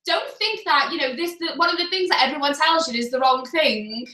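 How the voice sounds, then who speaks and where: Loud, high-pitched woman with a bright voice. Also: a little fast, echoey room. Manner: loud and energetic, laughs often. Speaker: co-host of a live business coaching stream. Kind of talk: casual live-stream chat.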